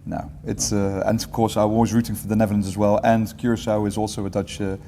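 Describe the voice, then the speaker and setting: A man in his forties answering interview questions in a relaxed, meandering way, often correcting himself mid-sentence. His voice is low and mellow. The room gives a long reverberant tail, with faint ambience underneath. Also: quick pace, vocal fry, slight background noise. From England. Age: 40s. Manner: relaxed, rambling, self-correcting with light humor. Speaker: guest, entrepreneurship teacher.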